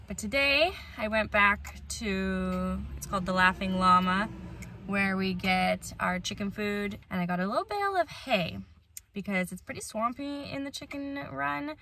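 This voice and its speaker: A woman in her thirties talks casually to a handheld camera at arm's length. Her voice is bright and forward, at a middling pitch. She is playful and self-deprecating, and there is clear noise behind her. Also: unhurried. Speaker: family vlogger, mother. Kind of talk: casual chat to camera about daily chores. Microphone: camera or phone at arm's length.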